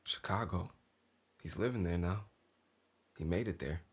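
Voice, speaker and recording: An actor playing a tormented, resigned killer and estranged brother in a stage drama, speaking haltingly in a gravelly, husky voice. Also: male voice, low-pitched, exaggerated voice. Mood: bitter, wistful. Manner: slow, halting, haunted.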